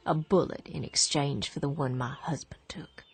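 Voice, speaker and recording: A bitter war widow. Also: female voice, low-pitched, steady tone, normal speed, gravelly, slightly rough, full character voice. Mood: vengeful. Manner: quiet, grieving, turns hushed and vengeful. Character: lonely, bitter war widow on a ruined farm. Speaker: actress in a radio drama. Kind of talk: radio drama acting.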